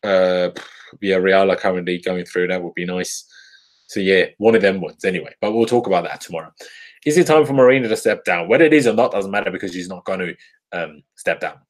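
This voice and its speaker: A man's voice: low voice, fairly quick. A man in his twenties from Austria, with an Austrian accent tinged by English and Irish, chatting casually in a live-stream Q&A with frequent laughs and ums. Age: 20s. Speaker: football YouTuber.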